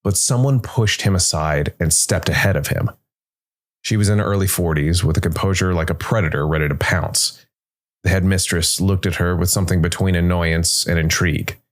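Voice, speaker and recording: A man narrating a scripted dark fantasy story in a measured, deliberate way. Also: low-pitched. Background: music.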